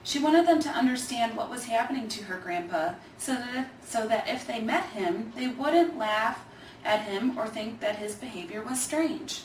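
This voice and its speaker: A woman reads a children's story aloud as narrator in a fairly high voice. The recording is roomy, with faint background noise. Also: animated, normal speed, distant mic. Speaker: storybook reader.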